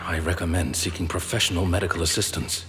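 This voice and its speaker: Game role: restrained, formal loyal man, played by an actor, deep and terse, stoic throughout. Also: fast talker, over music, lightly acted. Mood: grave, restrained. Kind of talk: dramatic video game voice acting.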